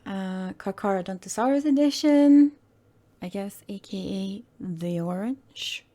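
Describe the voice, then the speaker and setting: A woman hosting her gaming channel in casual, rambling commentary, her throat hoarse and croaky today, often hesitating and correcting herself. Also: mid-range voice, animated, a little slow, warm tone.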